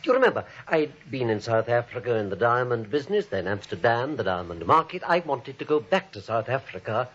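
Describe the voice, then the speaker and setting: Sinister, imperious criminal, muffled vintage radio. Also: male voice, mid-range voice, sing-song, a little fast, few pauses, fairly bright tone, husky. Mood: smug, mock-polite. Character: menacing cold-blooded criminal nephew returned home. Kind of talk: 1940s radio play acting. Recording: dull old 1940s radio recording.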